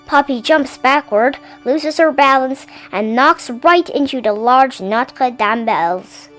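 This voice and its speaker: A woman narrating a children's story in an animated, theatrical read-aloud style. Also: high-pitched, slow pace, faint music behind. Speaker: storybook reader.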